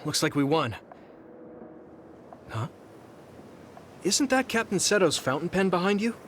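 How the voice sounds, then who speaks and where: An earnest, animated young soldier, higher-pitched. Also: male voice, measured pace, slight background noise, full character voice. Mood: curious, hesitant. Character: earnest, friendly young male soldier, easily flustered. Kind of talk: English anime game voice acting.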